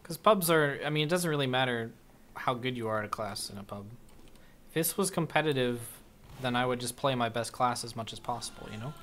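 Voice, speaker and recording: A young man chatting casually to his live-stream audience while playing, relaxed and answering viewers' questions between plays. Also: medium pitch, a little fast, slight background noise.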